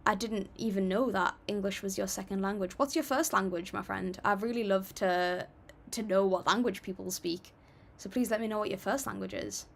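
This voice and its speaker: A woman's voice: mid-range voice, normal speed, vocal fry, hardly any pauses. A young woman hosting a casual livestream, chatting and reading viewer comments aloud in an upbeat, apologetic way. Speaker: livestream music reactor.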